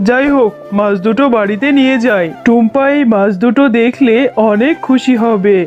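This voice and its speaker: A doting fox father from a children's cartoon, acted by a woman, talks in a high voice. He sounds homely and expressive, a working fisherman talking to his family. Music runs underneath. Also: moderate pace, highs cut off, lightly acted. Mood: pleased, doting.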